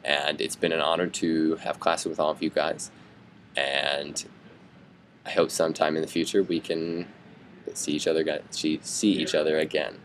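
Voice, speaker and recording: Deep-voiced earnest young man. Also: level tone, a little hiss or hum. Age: young adult. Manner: earnest, measured, slightly halting. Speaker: student. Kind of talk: farewell address and advice to classmates.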